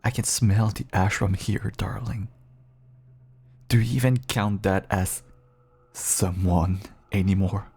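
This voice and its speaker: A man playing a caged feral vampire who pleads clingily and bitterly with an estranged partner in an intimate dramatic audio roleplay, his voice husky and gravelly. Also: lowish voice, little pitch movement, slow pace, long pauses, heavily acted. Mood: quiet, probing. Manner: clingy, pleading, swinging from hushed endearments to bitter outbursts.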